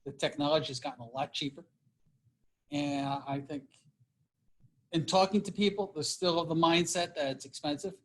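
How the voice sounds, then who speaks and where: A man in a casual meeting discussion, explaining at a measured pace with many fillers. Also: on the high side, fairly bright tone. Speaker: meeting participant.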